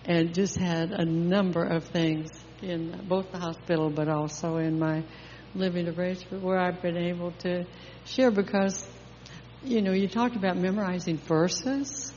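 An older woman sharing an emotional church testimony in a heartfelt, halting way. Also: lowish voice, fairly even intonation, slight background noise. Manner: emotional, heartfelt, with soft laughs. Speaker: congregation member sharing testimony. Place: church sanctuary. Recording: echoey church hall, dull sound.

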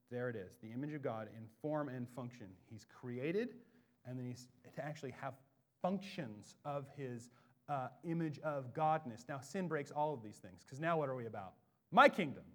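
An American man delivers an expository sermon in a slightly high voice. He swings between low, offhand asides and booming, drawn-out lines of emphasis. His voice is big, and he sounds like he has no inside voice. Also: fairly bright tone. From Bellingham, Washington, USA. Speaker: pastor.

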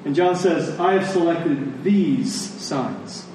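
A man preaching a sermon in a conversational, deliberate way, telling stories and explaining scripture, pausing and repeating phrases for emphasis. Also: on the high side, a little mellow. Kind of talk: sermon with storytelling and scripture exposition. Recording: echoey room, distant mic, faint noise.

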